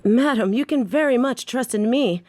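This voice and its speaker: A woman's voice: a little high, normal speed, a little mellow, no room sound, close to an everyday voice. A woman playing a loyal, confident female gangster in an audio drama, speaking with formal courtesy and eager devotion. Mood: confident, assuring. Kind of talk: scripted audio roleplay acting.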